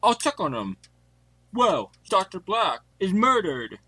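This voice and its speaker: A man's voice: sits high, very animated, takes it slowly, sharp tone, husky, dry recording, heavily acted. A man playing a formal, earnest butler who is really an undercover detective in an amateur audio mystery. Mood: businesslike. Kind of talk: fan audio drama acting.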